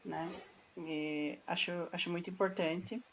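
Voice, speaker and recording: Brazilian woman, podcast host, lowish voice, steady and moderating. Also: a little slow. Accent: Brazilian Portuguese. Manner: steady, moderating, wrapping up points. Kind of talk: casual chat.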